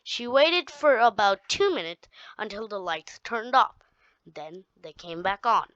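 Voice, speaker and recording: A woman reads her own story aloud, halting and restarting her sentences as she goes. Her pace is slow and her tone bright and a little tense. The recording is dull and dry. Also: medium pitch, big pitch swings, no room sound. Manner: halting, with frequent restarts and repeated words.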